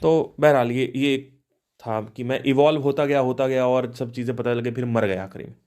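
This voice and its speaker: A man's voice: medium pitch, steady tone, normal speed, darkish tone. A man of thirty hosting a podcast, musing aloud on spiritual ideas with restarts and rhetorical questions. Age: 30s. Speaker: podcast host. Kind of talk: reflective spiritual talk.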